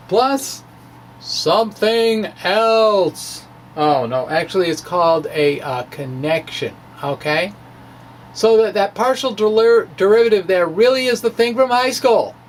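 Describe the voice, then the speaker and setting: A man giving an informal spoken science explanation in a halting, rambling, colloquial manner with wry asides. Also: high voice. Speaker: amateur physics blogger presenting his work. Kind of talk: informal spoken physics explanation, dramatic reading of his blog. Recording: clear background noise.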